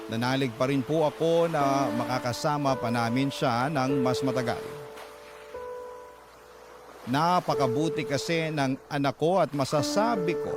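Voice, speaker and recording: A man hosting a radio story show, reading a listener's letter in a solemn, sorrowful, dramatic way. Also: fairly high pitch. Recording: loud music under the voice.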